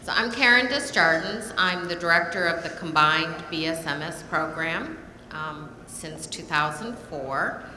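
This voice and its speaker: An older woman, a nursing program director, giving a measured panel talk with frequent pauses. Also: low-pitched, animated, sharp tone. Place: lecture hall. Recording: echoey hall, audible room noise.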